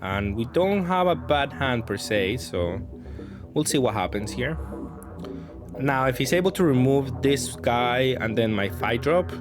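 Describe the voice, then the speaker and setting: A man's voice: mid-range voice, lively intonation, measured pace, over music. A man giving casual, off-the-cuff commentary on his own card game matches, thinking aloud and faulting his own plays.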